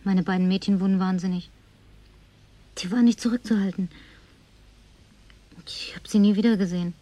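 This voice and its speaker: An actress playing a tipsy, flirtatious, lonely woman survivor in a radio drama, coquettish and slipping into breathy whispers. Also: medium pitch, steady tone, moderate pace, dark tone, no room sound, lightly acted. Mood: tipsy reminiscing. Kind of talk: German radio drama acting.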